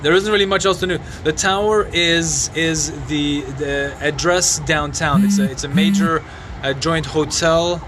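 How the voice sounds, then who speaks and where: Halting man on noisy phone livestream. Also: a little high, steady tone. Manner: halting and sombre, many restarts and fillers. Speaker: livestreamer reporting a fire. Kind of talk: live phone stream, answering viewer comments. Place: outdoors in a city compound at night. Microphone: phone mic. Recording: noisy outdoor phone stream.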